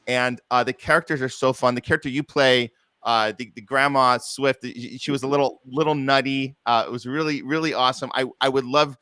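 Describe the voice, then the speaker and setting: A man's voice: mid-range voice, steady tone, fairly quick, pushed and bright, clear, resonant tone, no room sound. Male host, conversational and effusive, wanders through his points, dry recording. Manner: effusive, appreciative, rambling. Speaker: podcast host, theater producer. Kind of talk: casual interview chat.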